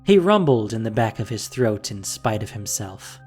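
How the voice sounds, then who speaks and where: Expressive audio drama narrator. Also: mid-range voice, moderate pace, soft background music. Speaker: podcast narrator and producer.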